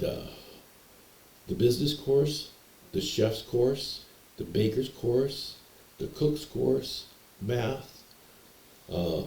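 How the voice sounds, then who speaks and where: A man with a very low, mellow voice tells a meandering personal story, stumbling over words and starting again. He sounds relaxed and unpolished. The room around him is echoey. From Canada. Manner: meandering, hesitant, repeats words and restarts. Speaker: vlogger telling personal stories.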